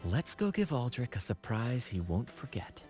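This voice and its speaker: A man's voice: mid-range voice, big pitch swings, normal speed, darkish tone, natural voice. A man voicing a video game's flamboyant young troupe leader, playful and gallant, with theatrical, flowery phrasing. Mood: playful showmanship. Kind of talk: video game voice acting. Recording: game music behind the voice.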